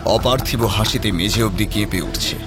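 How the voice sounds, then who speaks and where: A male narrator tells a horror story in a fairly low voice. His delivery is theatrical and full of suspense, with music clearly playing behind him. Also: moderate pace. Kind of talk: dramatic scripted horror narration.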